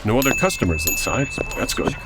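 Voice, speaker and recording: A man's voice: mid-range voice, sing-song, fairly quick, heavy background noise, lightly acted. Man playing a fretful 1940s man, wildly swooping voice. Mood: bewildered. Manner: fretful, earnest. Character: anxious, bewildered man from the 1940s on the run. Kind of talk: comedy audio drama acting, old-time radio flavour.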